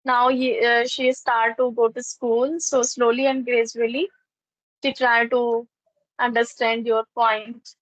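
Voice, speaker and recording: A woman's voice: on the high side, little pitch movement, slow pace, dry recording, low-quality recording. A native Hindi-speaking woman with a North Indian accent, chatting casually in simple non-native English with repeated phrases. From northern India, raised in Chandigarh. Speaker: English conversation teacher. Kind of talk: casual English practice conversation.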